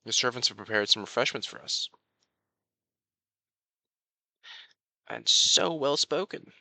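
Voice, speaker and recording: A man playing a courtly young crown prince, besotted and quietly possessive, speaking formally and floridly to the listener in a romantic audio roleplay. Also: mid-range voice, lively intonation, a little fast, dry recording. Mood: smitten, gracious. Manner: formal, flowery, genteel speech.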